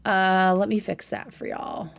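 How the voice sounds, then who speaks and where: A woman's voice: medium pitch, fairly even intonation, measured pace, vocal fry. A woman hosting a casual livestream, giving effusive, slangy commentary peppered with swearing. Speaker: livestream host reacting to a video.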